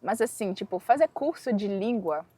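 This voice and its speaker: A woman's voice: medium pitch, expressive, moderate pace, mellow, dry recording. A woman from Haiti, talking casually in an interview. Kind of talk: documentary interview.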